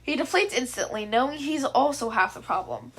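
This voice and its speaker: A woman's voice: sits high, lively intonation, brisk, background noise. A woman reading a story aloud as scripted audiobook-style narration. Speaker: fan fiction narrator.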